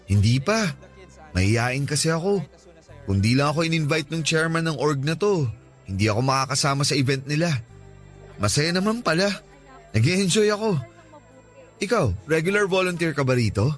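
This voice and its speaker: A man's voice: a little high, very animated, normal speed, long pauses. An actor with a Filipino accent playing a shy, earnest young man in a conversational radio drama. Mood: shy, friendly. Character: shy, earnest young man, introverted first-time volunteer. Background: background music.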